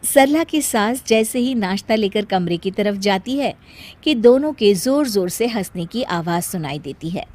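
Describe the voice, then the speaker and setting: A woman's voice: mid-range voice, lively intonation, a little slow, darkish tone. A woman narrator reading a scripted story in a storytelling style.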